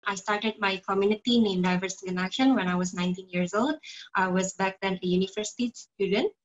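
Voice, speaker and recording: A woman in her twenties with an Indonesian accent introduces herself in non-native English. Her pitch is medium, and the video-call audio sounds slightly dull. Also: steady tone, fairly quick. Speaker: panelist, foundation founder. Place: room, indoors.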